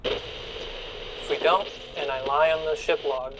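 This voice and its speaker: A woman's voice: low-pitched, fairly even intonation, takes it slowly, noisy background. A woman playing a casual, weary spaceship commander in a tabletop game, matter-of-fact and informal. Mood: firm. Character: casual, weary female crew commander on the ship radio. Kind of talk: tabletop roleplay.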